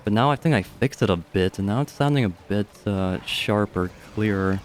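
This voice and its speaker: A young man with a deep voice doing casual, chatty live commentary on a strategy game match, speaking into a studio microphone and swearing offhandedly. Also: normal speed, slight background noise. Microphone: studio dynamic microphone.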